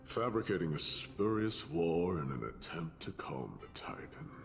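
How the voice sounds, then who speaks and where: An actor playing a menacing, scornful ancient warrior in a video game, gravelly and brooding, with archaic formal phrasing. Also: male voice, sits low, very animated, moderate pace, full character voice. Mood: sly, mocking. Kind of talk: dramatic video game voice acting. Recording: long reverb, music underneath.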